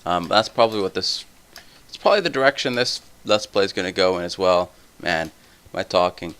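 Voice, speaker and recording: A tired young man with a somewhat deep voice, rambling through casual gameplay commentary full of tangents. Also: fairly quick, a bit bright. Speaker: let's play host.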